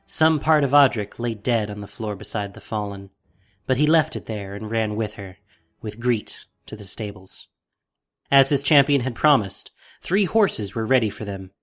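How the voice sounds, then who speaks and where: A man's voice: on the low side, expressive, moderate pace, a bit bright. A man narrating a scripted fantasy story in a dramatic, solemn way.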